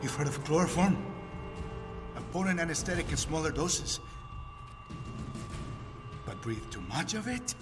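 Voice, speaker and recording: High-pitched gloating villain scientist. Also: male voice, big pitch swings, measured pace, long pauses. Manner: taunting, theatrical. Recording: drowned by loud music.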